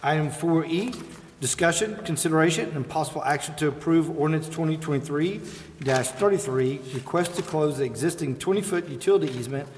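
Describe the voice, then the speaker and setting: Guy running a city council meeting, brisk agenda reading, slightly high voice, long echo in the chamber. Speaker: presiding officer of a city council. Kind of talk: formal meeting procedure, reading agenda items. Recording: reverberant chamber with faint hiss.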